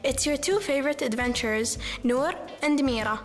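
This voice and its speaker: Enthusiastic high-voiced young co-host. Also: female voice, normal speed, faint music behind. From UAE.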